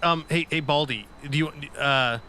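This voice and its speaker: A man's voice: a little high, normal speed, bright, forceful tone, slight background noise. A man playing a tabletop game, improvising casually in a hesitant, rambling way. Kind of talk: casual improvised roleplay chat.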